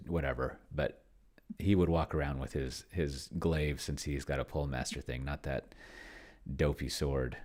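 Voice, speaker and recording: A man's voice: very deep voice, creaky, a little mellow. A man giving casual, rambling commentary on a solo tabletop game, thinking aloud and muttering to himself as he types. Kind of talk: casual screen-recording commentary, thinking aloud while typing. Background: keyboard typing.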